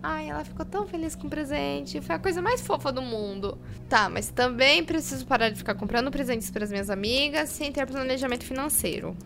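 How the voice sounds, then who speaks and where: A woman's voice: high voice, brisk, vocal fry, background noise. An actress playing a frazzled, impulsive young woman fretting over money, with a Brazilian accent, in an audio drama. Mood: self-reproaching. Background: music behind the voice.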